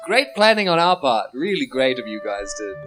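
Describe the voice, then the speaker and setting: A man's voice: medium pitch, big pitch swings, normal speed. A New Zealand man playing in a live tabletop game, with dry, informal banter. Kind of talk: casual improvised play before an audience. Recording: live show with music underneath.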